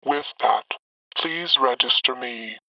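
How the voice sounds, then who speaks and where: A man's voice: mid-range voice, takes it slowly, creaky, distant mic, slight background noise. A synthetic male voice as a dull, lo-fi grave president. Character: grave male American president addressing the nation. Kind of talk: formal scripted political address. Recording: dull lo-fi radio-like broadcast.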